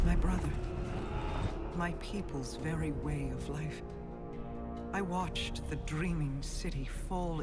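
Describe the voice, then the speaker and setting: An actress playing a regal, grave, wounded queen in a solemn, deliberate game monologue. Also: low-pitched. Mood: grave, bitter. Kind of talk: dramatic scripted game monologue.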